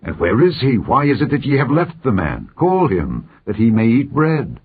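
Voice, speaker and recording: A man reading the part of a hospitable priest and father, questioning briskly in formal scripture language. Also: mid-range voice, huge pitch swings, a little hiss or hum, voice pushed up. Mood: curious, urging. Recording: muffled phone-like narrow-band audio.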